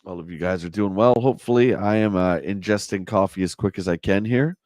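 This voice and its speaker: Casual livestream host, a man with a low, hoarse voice and a chatty manner, recorded dry. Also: a little fast, a little mellow, dry recording. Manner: chatty, answers viewer comments. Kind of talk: casual live chat reading a magazine.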